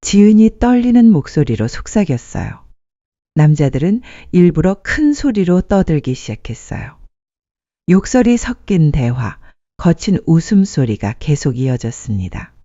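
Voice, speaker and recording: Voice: mid-range voice, big pitch swings, normal speed, mellow, no room sound. A narrator reading a scripted dramatic story as a storyteller. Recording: muffled dry studio sound.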